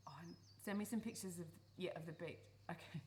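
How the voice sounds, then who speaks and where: A woman's voice: lowish voice, quick pace, mellow, lightly acted. A woman playing a guarded, exasperated adult daughter, dry but tender underneath, in radio play dialogue. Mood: shy, tender. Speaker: actor in a live radio play. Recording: live recording with clear background noise.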